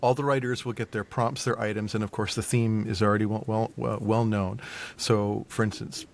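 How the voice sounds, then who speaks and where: A man chatting as an interview guest, explaining in a halting way and often repeating his words. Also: on the low side, level tone, gravelly. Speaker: audio drama festival organizer, podcast guest. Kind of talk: casual interview chat.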